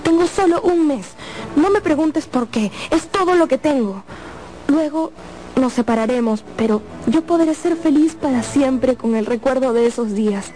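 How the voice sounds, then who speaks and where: An actress in a radio drama playing an earnest, pleading young opera singer who is in love and afraid. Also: female voice, high voice, moderate pace, mellow, lightly acted, poor audio. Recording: music playing behind the voice. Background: music.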